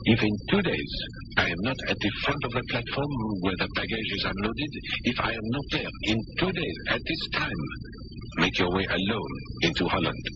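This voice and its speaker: An actor playing a cool, bold French air ace on the run in an old-time radio drama, theatrical and self-assured. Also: male voice, fairly low pitch, wildly swooping pitch, normal speed. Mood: urgent, hushed. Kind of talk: old-time radio drama acting with first-person narration. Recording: noisy, dull old radio broadcast recording.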